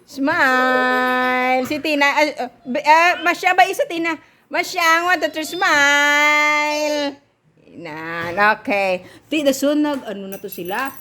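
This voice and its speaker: A Filipino woman with a Visayan accent telling an improvised story to children, animated and often directing them, in a noisy recording. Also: sits high, takes it slowly.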